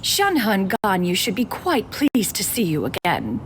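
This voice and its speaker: A woman's voice: medium pitch, huge pitch swings, unhurried, background noise. A woman voicing an ancient spirit in human form in a video game, speaking in a formal, old-fashioned way and calling herself "one", over game music. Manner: archaic, formal speech, refers to herself as "one". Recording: game audio with music behind. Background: background music.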